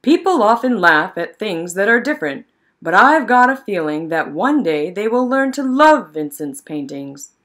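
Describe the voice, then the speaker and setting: A woman's voice: mid-range voice, big pitch swings, normal speed, fairly bright tone. A kindly father comforting his child at bedtime in a picture book, acted out by a woman reader. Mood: consoling, reassuring. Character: wise, kindly father, a village postman.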